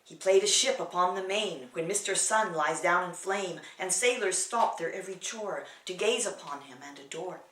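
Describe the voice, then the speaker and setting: A woman in her sixties giving a dramatic poetry reading, theatrical, moving between emphatic bursts and hushed delivery. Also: lowish voice, moderate pace, few pauses. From California, USA. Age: 60s. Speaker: poet reading own work. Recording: roomy echo, distant microphone.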